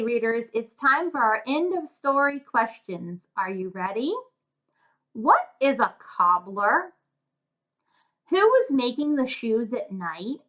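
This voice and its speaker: Female children's story reader, high-pitched, unhurried, sing-song, read-aloud style, slightly dull recording. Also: sharp tone. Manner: sing-song, addressing young listeners with questions. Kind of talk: read-aloud of a rhyming children's fairy tale.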